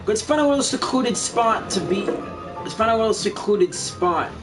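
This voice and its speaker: A man's voice: high voice, animated, normal speed. A man live-streaming a video game, reading dialogue aloud and commenting as he plays. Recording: distant mic, game music behind. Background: game music.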